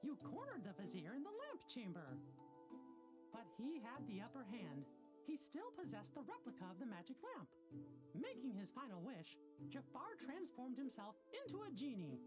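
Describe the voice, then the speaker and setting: A woman's voice: fairly high pitch, wildly swooping pitch, normal speed. A woman narrating a fantasy adventure recap as scripted video game storytelling. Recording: loud game music behind.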